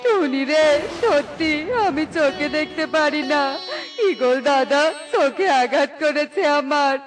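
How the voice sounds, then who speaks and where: A woman's voice: sits high, measured pace, music in the background. A woman voicing a sly, whiny male crow in a children's cartoon, melodramatic and full of exclamations. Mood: pitiful.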